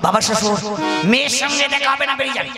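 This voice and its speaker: A man voicing a loud, mocking son-in-law in a comic folk puppet show, exclaiming and repeating himself to the audience. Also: extremely high pitch, rapid-fire. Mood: indignant. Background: loud music.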